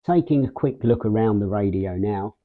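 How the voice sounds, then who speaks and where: A man hosting a casual product unboxing and walkthrough, speaking in short, measured phrases with pauses mid-sentence. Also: fairly low pitch. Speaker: video host, product reviewer. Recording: muffled, phone-like narrow band sound.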